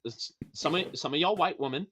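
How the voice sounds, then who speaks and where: A man with a high-pitched, slightly feminine voice, ranting hard on a casual podcast, emphatic and profane. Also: brisk, sharp tone, a little hiss or hum. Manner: emphatic, profane, preachy rant with sudden high-pitched outbursts. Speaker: podcast guest.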